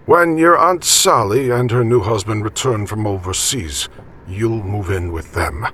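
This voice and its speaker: A man voicing a jovial, teasing elderly grandfather from the country in an audiobook story, with a raspy, folksy delivery. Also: on the low side, a little slow, slight background noise. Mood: encouraging. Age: older. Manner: animated, folksy, encouraging.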